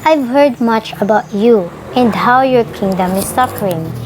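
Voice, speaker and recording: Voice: mid-range voice, expressive, measured pace, background noise, natural voice. A woman voicing an old beggar from a storybook folktale. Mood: stern, reproachful. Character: hungry old beggar, pleading, then sternly scolding.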